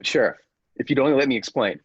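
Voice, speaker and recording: A man's voice: medium pitch, animated, fast talker, husky, dry recording, muffled recording. A man playing a persuasive, controlling scientist in an old-style radio play, with a commanding, insistent manner. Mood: placating. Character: persuasive, controlling male laboratory scientist with dangerous ambitions.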